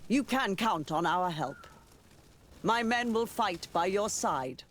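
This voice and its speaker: Proud, sceptical male church leader, high-pitched, swooping delivery, formal game drama. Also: animated, slow, a bit bright, full character voice. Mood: stately, resolved. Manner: formal, theatrical, probing. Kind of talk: video game cutscene dialogue acting.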